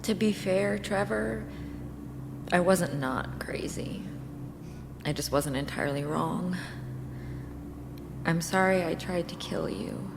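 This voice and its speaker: An actress playing a remorseful but resolute killer in an audio drama, terse, grave and earnest. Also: female voice, lowish voice, slow pace, creaky, pauses often, long reverb tail, background noise. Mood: subdued. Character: remorseful, resolute female killer inheriting a death god's mantle.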